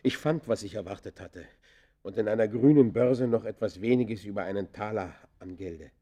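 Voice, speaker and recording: An actor playing a brooding, defiant murderer who confesses in deliberate, literary German radio play style, moving between hushed and vehement delivery. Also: male voice, fairly low pitch, close to an everyday voice. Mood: defiant, intense.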